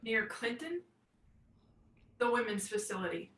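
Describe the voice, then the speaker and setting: Distant and roomy, an actress plays an exasperated adult daughter with a bright, sharp voice. She is terse, wary and insistent, a bewildered woman in a one-act play. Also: on the high side, very animated, a little slow.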